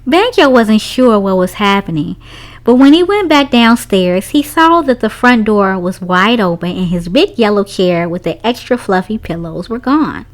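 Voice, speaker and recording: A woman's voice: mid-range voice, animated, normal speed. A woman reading a children's story aloud, narrating expressively for young listeners.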